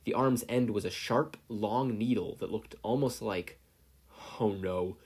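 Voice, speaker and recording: A man's voice: fairly low pitch, unhurried, darkish tone, husky. A man reading scripted fiction aloud as a story narrator. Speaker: podfic reader.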